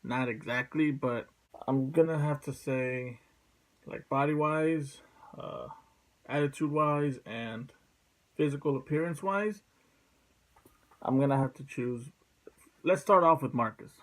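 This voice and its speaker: A man speaking casually as a video host, blunt and sweary, in a halting, rambling way. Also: mid-range voice. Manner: blunt, profane. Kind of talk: casual opinion vlog.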